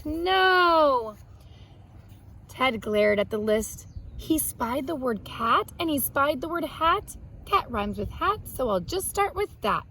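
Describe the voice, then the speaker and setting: A woman reading a picture book aloud to young children, expressive, pausing to explain words simply. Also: sits high, a little hiss or hum. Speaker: children's read-aloud host and art teacher.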